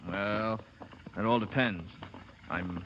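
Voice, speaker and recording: A man's voice: fairly low pitch, slow pace, noisy background. An actor playing a polite, hesitant, guarded man on the run in 1930s radio style. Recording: muffled, reverberant 1930s radio broadcast.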